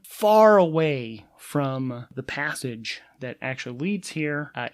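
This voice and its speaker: A man narrating a solo tabletop game as its game master and player, thinking aloud in an informal, animated way. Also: on the high side.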